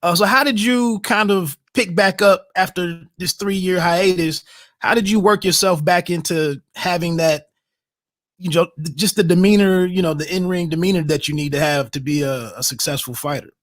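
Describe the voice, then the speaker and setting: A man's voice: sits high, moderate pace, a bit bright. A man interviewing a boxer, asking long, winding questions with fillers.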